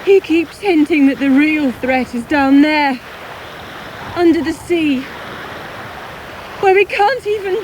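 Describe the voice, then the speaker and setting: A woman's voice: high-pitched, slow, pauses often, slight background noise. A woman acting in a radio drama as a frightened, bitter wife, with intense dramatic delivery. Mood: uneasy, fearful. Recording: echoey, music underneath.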